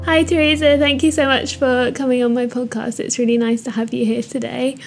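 Woman podcast host, kinda high voice with some fry, friendly, lots of mm-hmms. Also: flat delivery, fairly quick, music in the background. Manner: friendly, encouraging, frequent mm-hmms. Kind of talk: podcast interview hosting.

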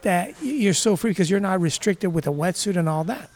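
A man's voice: high voice, animated, brisk, a little mellow. A man in his fifties from Brazil, native speaker of Portuguese, chatting enthusiastically as an interview guest with surfer slang. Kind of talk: casual interview chat.

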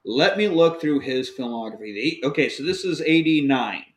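A man's voice: on the high side, lively intonation, normal speed. A man hosting a podcast, recapping a movie in a casual, joking, profane way. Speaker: podcast host. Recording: roomy echoey room.

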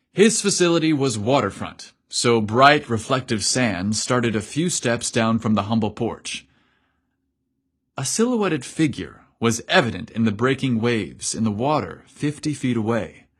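A man's voice: mid-range voice, a bit bright, husky. A man narrating a scripted story as its host, in a dramatic, theatrical and emphatic style.

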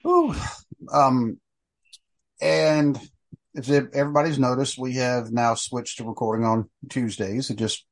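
A man's voice: medium pitch, unhurried, low-quality recording. A middle-aged man hosting a casual podcast chat, rambling with many fillers and restarts. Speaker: podcast host.

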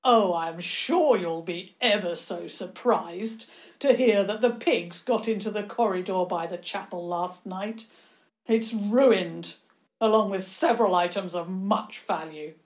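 A woman voicing a cruel older lord in a storybook, sarcastic and quietly threatening. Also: mid-range voice, unhurried, natural voice. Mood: angry, sarcastic. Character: cruel, sneering lord, menacing older uncle.

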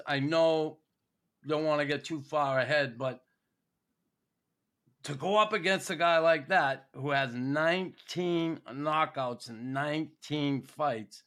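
Slow-talking laid-back podcast host. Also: male voice, a little high, a bit bright. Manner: jokey, laughs often, leading questions. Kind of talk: casual podcast interview.

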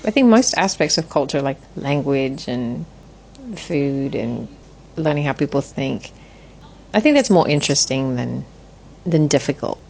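A woman in her forties, a guest in an interview, talking in a thoughtful, measured way with frequent restarts. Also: extremely low pitch, animated, vocal fry, a little mellow, slight background noise, poor audio. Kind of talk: reflective interview conversation.

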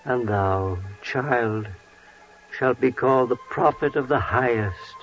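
Old bible priest, very solemn, declaiming, dull old 1940s radio recording. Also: male voice, medium pitch, slow, faint music behind. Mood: reverent. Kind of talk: 1940s radio drama acting.

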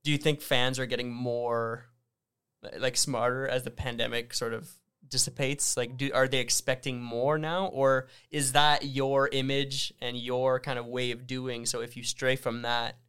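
A man hosting a casual music-business podcast, easygoing and quick to chime in with agreement. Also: medium pitch, level tone, fairly bright tone, smooth. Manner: easygoing, frequent agreeing interjections. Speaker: podcast host.

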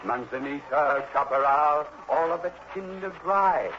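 An actor playing an earnest, fretful insurance executive in a 1960s radio drama, wordy and hesitant, on a muffled, noisy old recording. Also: male voice, fairly high pitch, a little slow, a bit bright. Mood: fretful, explaining. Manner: hesitant, wordy, emphatic repetition.

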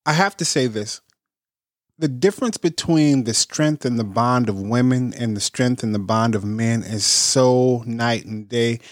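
A man co-hosting a casual podcast, telling stories with enthusiasm and quick restarts. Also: medium pitch, no room sound, clean studio sound. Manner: enthusiastic, storytelling, quick repeats. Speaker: podcast co-host, band bassist.

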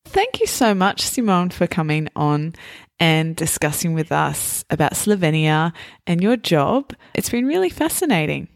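Lower-pitched woman hosting an interview. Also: very animated, measured pace, creaky, dry recording, clean studio sound. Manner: friendly, thanking and wrapping up. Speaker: podcast host. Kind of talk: interviewing a guest.

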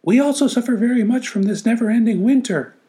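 A man voicing eager little mice and woodland animals with a squeaky voice. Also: fast, warm tone.

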